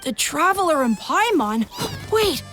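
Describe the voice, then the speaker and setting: A woman's voice: high-pitched, very animated, unhurried, fairly bright tone, faint music behind, heavily acted. A woman voicing an eager, admiring young child warrior-in-training in a video game, with a childlike, wide-eyed delivery. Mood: awestruck.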